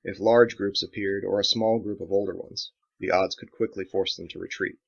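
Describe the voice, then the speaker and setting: A man's voice: deep voice, fairly even intonation, moderate pace, creaky. A man reading his own dramatic action novel as scripted audiobook narration, in a slightly dull recording.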